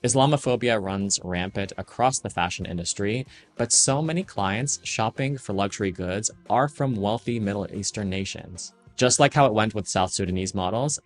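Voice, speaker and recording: A man with a somewhat low voice delivers a scripted commentary on the fashion world. His tone is measured and critical, and he lays out his points steadily. Soft music plays faintly beneath the narration. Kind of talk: scripted video-essay narration.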